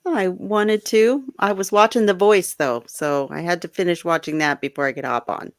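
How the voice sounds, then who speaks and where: A woman's voice: lowish voice, normal speed, dry recording, studio quality. A middle-aged woman who is a guest on a casual chat show, easygoing and laughing often.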